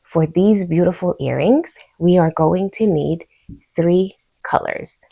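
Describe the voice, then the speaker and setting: A woman's voice: on the low side, takes it slowly, gravelly, warm tone, breathy edge. A woman with a Hungarian accent narrating a step-by-step craft tutorial in an easygoing, informal way. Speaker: host of a crochet tutorial channel.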